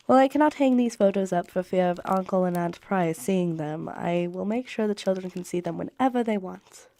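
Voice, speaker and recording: An actress playing an affectionate young married Victorian mother reading her letters in a radio drama, with genteel, expressive period diction. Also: on the low side, no echo, close to an everyday voice. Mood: fond.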